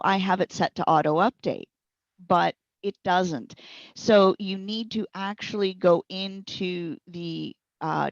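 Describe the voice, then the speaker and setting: A woman giving informal, explanatory interview answers as a software trainer, chatty and folksy in her phrasing. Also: mid-range voice, dry recording. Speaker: interviewed speech software trainer and support provider. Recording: dull, lo-fi audio.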